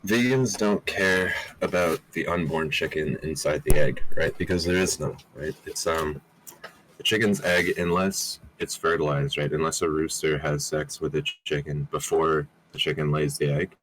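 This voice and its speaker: Very deep-voiced man explaining. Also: normal speed, noisy background. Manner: explanatory, checks with 'right?'. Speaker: community member explaining. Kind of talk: casual explanation on a call.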